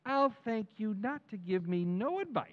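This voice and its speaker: A man's voice: sits high, animated, normal speed, dull audio. A man playing a brash, cynical wise-guy buddy in an old-time radio comedy, needling and cocksure.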